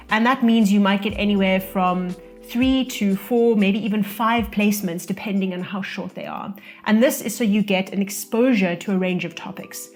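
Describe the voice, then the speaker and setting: Young south african woman, relaxed conversational talk, faint music behind. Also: medium pitch. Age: young adult. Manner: relaxed, conversational, speaks from own experience. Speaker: vlogger sharing career advice. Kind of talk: casual talking-to-camera advice. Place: indoor room, losing daylight.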